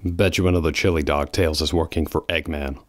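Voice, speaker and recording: A man's voice: a bass voice, fairly even intonation, brisk. A man voicing a brooding, protective male hedgehog in a fan audio drama, gloomy and pessimistic.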